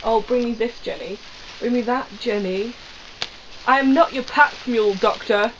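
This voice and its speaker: A woman's voice: a little high, moderate pace, close to an everyday voice. A woman playing a sarcastic young companion. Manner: sarcastic grumbling. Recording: dull lo-fi with faint hiss.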